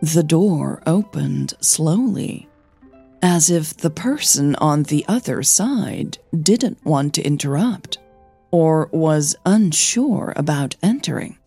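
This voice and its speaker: A woman narrating scripted audio fiction in a gentle, deliberate storytelling manner. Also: low-pitched, expressive, creaky, breathy edge, soft background music. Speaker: narrator and podcast creator.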